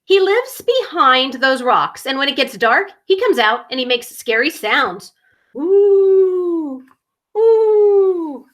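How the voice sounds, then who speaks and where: An excitable young-hearted male zebra from a children's storybook, acted out by a woman. He speaks emphatically and dramatically, with a bright voice that rises and falls widely. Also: high voice, slow, voice pushed up, exaggerated voice. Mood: spooky. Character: zippy, excitable male zebra telling spooky tales.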